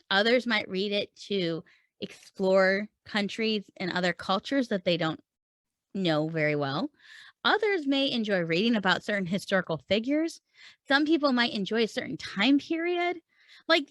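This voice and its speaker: A woman with a fairly high voice, recorded dry and close to free of room sound. She teaches like a podcast coach, conversational yet emphatic, speaking directly to the listener and leaning on rhetorical questions. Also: fairly bright tone, no room sound. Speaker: podcast host and writing coach. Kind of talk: conversational instructional podcast talk.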